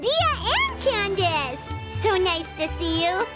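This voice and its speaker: A woman voicing a tiny, cheerful fairy girl companion in a video game, with a squeaky, childlike voice and a chirpy, emphatic way of talking. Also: lively intonation, slow, over loud music, heavily acted. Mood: delighted.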